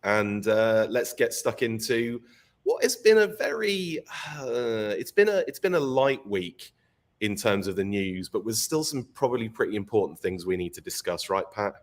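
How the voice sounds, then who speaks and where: A man hosting a live podcast stream, his voice croaky from illness, chatty and laughing often. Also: on the low side, very animated, moderate pace. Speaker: podcast host. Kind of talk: casual live-stream chat.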